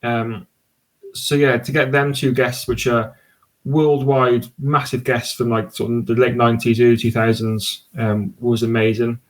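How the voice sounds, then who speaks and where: Man, casual podcast guest, eager, rambling, mid-pitched voice. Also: flat delivery, unhurried, rough recording. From Cardiff, Wales. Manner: enthusiastic, rambling with many restarts. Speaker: podcast guest and sports podcast host.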